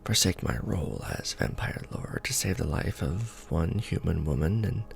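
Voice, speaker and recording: A man playing a courtly, conflicted vampire lord in a romantic ASMR fantasy roleplay, speaking formally and with measured care. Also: expressive, heavily acted. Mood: torn, grave. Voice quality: low, creaky. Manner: formal, measured, hesitant when tender. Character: courtly, conflicted vampire lord, tender toward his beloved.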